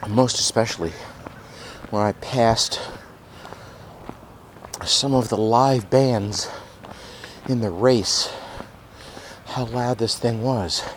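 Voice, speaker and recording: A man speaks in slow, deliberate, wordy phrases into a handheld voice recorder while he is outdoors. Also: medium pitch, a little hiss or hum. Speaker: running podcast host. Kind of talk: casual monologue. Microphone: handheld digital voice recorder.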